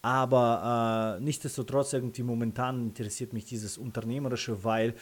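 A man with a Russian accent, a native Russian speaker, answering interview questions casually in halting, self-correcting phrases. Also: mid-range voice, steady tone. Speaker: interview guest, freelance photographer and videographer.

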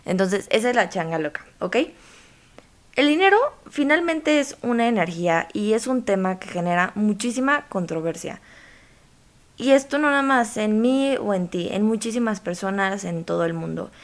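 A woman's voice: medium pitch, animated, normal speed. Mexican woman hosting a podcast. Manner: informal, addresses listener directly. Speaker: podcast host. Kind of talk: conversational podcast monologue.

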